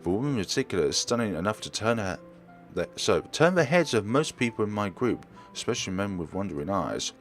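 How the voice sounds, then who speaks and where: Low-pitched man reading aloud with lively swings. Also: brisk, soft background music. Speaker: let's player reading a visual novel aloud. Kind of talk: narrated reading with asides.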